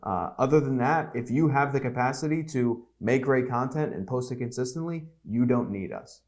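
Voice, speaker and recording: A man's voice: mid-range voice, moderate pace, darkish tone. A man in his twenties, a guest giving blunt, candid business advice in a casual podcast chat. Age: 20s. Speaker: podcast guest, agency CEO.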